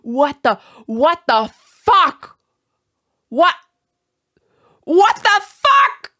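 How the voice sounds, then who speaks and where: A woman's voice: very high voice, sing-song, very slow, pushed and bright, dry recording. A young woman with a German accent reacting to a video in casual commentary, incredulous and swearing often.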